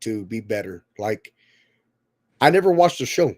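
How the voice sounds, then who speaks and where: A man's voice: on the low side, very animated, a little slow, darkish tone, no echo, studio quality. A man in his thirties hosting a casual podcast chat, rambling and often repeating his words. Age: 30s. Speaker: podcast host.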